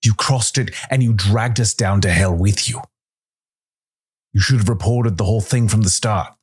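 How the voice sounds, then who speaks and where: A man's voice: lowish voice, moderate pace, breathy edge, pitched above the performer's own voice. A man voicing a bitter, vengeful spacefarer in a science fiction story, raging and accusatory. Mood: angry, accusing. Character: bitter, vengeful, enraged ex-crewmate of a space bounty hunter.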